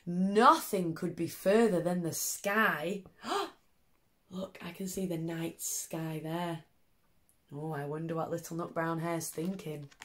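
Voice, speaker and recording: A woman primary teacher reading a picture book to young children, expressively and with questioning asides to them. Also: medium pitch. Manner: animated, asks tag questions, child-directed.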